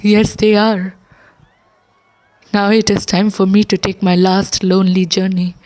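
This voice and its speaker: A woman's voice: mid-range voice, flat delivery, a little slow, darkish tone, natural voice. A woman playing a devoted, wistful rebel singer in a theatrical audio drama. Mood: sorrowful, resigned. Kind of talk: theatrical stage-play acting in an audio drama.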